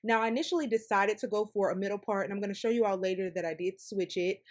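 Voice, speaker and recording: A woman's voice: mid-range voice, quick pace, darkish tone. A woman narrating a wig tutorial in an upbeat, chatty voice-over, calling out to her viewers. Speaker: hair vlogger.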